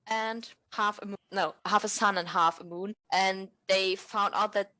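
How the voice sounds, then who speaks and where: A woman's voice: mid-range voice, fairly even intonation, unhurried, pushed and bright. A woman hosts a casual podcast in an upbeat, cheerful way. She introduces games and asks the guests questions. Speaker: podcast host.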